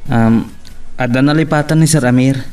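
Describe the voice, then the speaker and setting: A man's voice: mid-range voice, normal speed, darkish tone, clean tone, close to an everyday voice. A man with an Ilocano accent playing a hesitant, deferential office employee in a radio drama. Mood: hesitant, polite. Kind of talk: Filipino radio drama acting. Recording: slightly distant from the mic.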